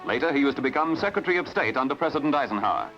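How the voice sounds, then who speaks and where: Lively Australian newsreel announcer. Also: male voice, mid-range voice. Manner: brisk announcer delivery with lively intonation. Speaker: newsreel narrator. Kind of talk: scripted newsreel narration. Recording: dull lo-fi archival newsreel with music.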